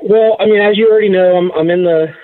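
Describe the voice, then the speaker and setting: A man's voice: high voice, quick pace. Man on a tinny phone line. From Massachusetts. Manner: hesitant, lots of fillers and restarts. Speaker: interview guest. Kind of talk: casual interview storytelling.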